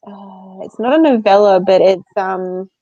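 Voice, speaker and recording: A woman's voice: mid-range voice, measured pace, dark tone. A woman co-hosting a book readalong live show in giggly, informal casual chat. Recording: dull, roomy lo-fi sound.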